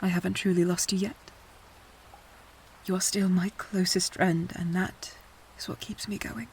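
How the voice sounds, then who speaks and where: A woman's voice: fairly low pitch, flat delivery, unhurried, darkish tone, a little hiss or hum, rough recording. A woman acting a devoted, worried friend in a fantasy audio drama, speaking earnestly and carefully. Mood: sad, tender. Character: Nadia, devoted, worried magical female friend. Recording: music under the voice.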